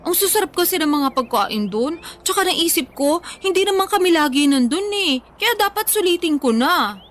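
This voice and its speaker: High female voice, thirties, acted by a woman, stubborn playful foodie in radio drama banter, music underneath. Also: lively intonation, moderate pace. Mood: content, playful. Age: 30s. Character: playful stubborn food-loving woman of thirty telling of a trip. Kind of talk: casual conversational radio drama dialogue.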